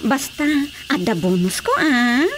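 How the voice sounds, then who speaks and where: A Filipino woman acting a coy, animated younger woman in a radio drama comedy. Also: on the high side, measured pace, background noise. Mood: coy, pleading.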